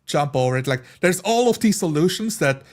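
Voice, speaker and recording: A man's voice: fairly high pitch, expressive, brisk. A man chatting casually as a tabletop player, explaining his points and voicing hypothetical thoughts. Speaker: tabletop role-playing player. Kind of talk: casual chat.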